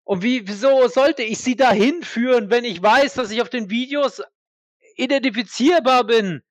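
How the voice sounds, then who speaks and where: A man's voice: very high-pitched, no room sound. A man playing a proud, defiant accused war veteran in an audio drama, indignant and emphatic. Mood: outraged. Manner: indignant, emphatic, halting when pressed. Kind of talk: dramatic audio play acting.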